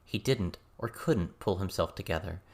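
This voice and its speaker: A man's voice: sits low, normal speed. A man reading a scripted story as an audiobook narrator.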